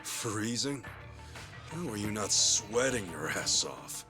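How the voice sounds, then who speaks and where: An actor playing a hot-blooded, earnest, good-hearted video game hero with a blunt, expressive delivery. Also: male voice, on the low side, slow pace, music in the background. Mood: fiery defiance. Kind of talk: cinematic video game acting.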